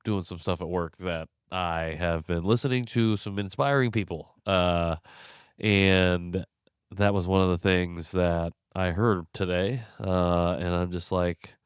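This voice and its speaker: A man's voice: low-pitched, fairly even intonation, a little slow, clear, resonant tone, dry recording, studio quality. A young man hosting his own podcast, stuffed up from a cold, talking frankly and rambling a little in a tired way. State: stuffed up, congested. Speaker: podcast host. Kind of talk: casual solo commentary. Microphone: close podcast mic.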